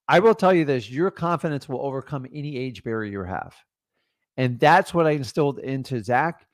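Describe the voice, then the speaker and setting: A man's voice: mid-range voice, no echo, clean studio sound. A middle-aged man coaching on real estate deals, talking in an emphatic, motivational, direct way. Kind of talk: energetic coaching talk.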